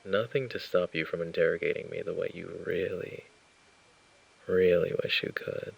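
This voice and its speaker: A man playing a suave, possessive mafia boss in an intimate roleplay, speaking with mock courtesy and a teasing drawl in a low, gravelly voice. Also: level tone, normal speed, exaggerated voice, rough recording. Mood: teasing, menacing. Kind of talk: roleplay ASMR acting, intimate address to listener.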